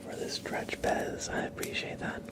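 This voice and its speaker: A man whispering gently as he hosts an ASMR live stream, chatting to viewers in a breathy voice and often repeating short phrases. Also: normal speed. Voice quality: breathy whisper with creaky edges.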